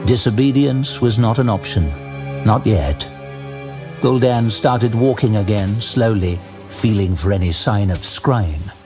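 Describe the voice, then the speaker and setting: Deep-ish guy reading a fantasy audio drama, measured and suspenseful, music playing behind him. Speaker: narrator. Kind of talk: scripted dramatic fantasy narration.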